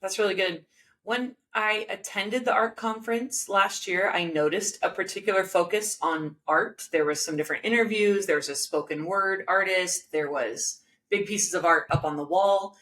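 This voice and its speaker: A woman's voice: medium pitch, moderate pace, fairly bright tone. A woman in her thirties hosting a podcast over a video call from home, introducing her guest and asking friendly, earnest questions. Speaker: podcast host. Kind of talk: interview hosting. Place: home room, indoors.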